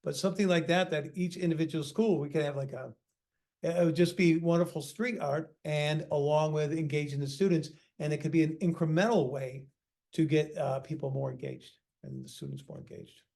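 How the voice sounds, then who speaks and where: A man at a community meeting pitching an idea in a rambling way, with fillers. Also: fairly even intonation, brisk, vocal fry, darkish tone. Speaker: community member.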